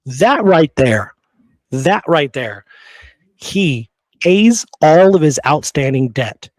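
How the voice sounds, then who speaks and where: A man's voice: fairly high pitch, very animated, takes it slowly, darkish tone, no room sound. A man hosting a history podcast in a conversational way, earnest and repeating phrases for emphasis, close on the microphone. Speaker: podcast host.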